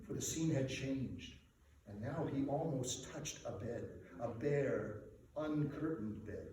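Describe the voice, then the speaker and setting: A man giving a theatrical, deliberately phrased dramatic reading of a literary story. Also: medium pitch, lively intonation, dark tone. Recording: very echoey room, distant mic, faint noise.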